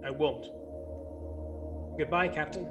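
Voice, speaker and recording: A young man playing a resolute, self-sacrificing starship ensign in a science-fiction radio play, speaking formally and with measured phrasing. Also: on the high side, a bit bright, muffled recording, a little hiss or hum. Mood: earnest. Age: young adult. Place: indoors at home, video call. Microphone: desk condenser microphone, close.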